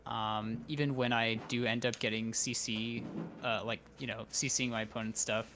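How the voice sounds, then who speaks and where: A man's voice: mid-range voice, level tone, moderate pace, fairly bright tone, slight background noise. A man chatting casually as a student in a coaching session, hesitant and thinking aloud as he goes. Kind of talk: casual chat. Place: remote online voice call.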